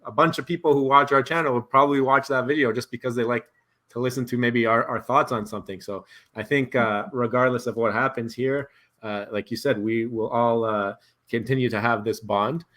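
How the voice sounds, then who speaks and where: A man hosting a casual video call chat, relaxed and rambling, laughing easily. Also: mid-range voice, fairly quick. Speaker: host.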